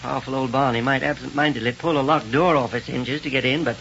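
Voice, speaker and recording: An actor playing a determined, authoritative inventor doctor in a 1930s radio serial. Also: male voice, mid-range voice, steady tone, fast talker, gravelly, fairly bright tone. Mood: brisk, resolute. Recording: muffled, noisy 1930s radio recording.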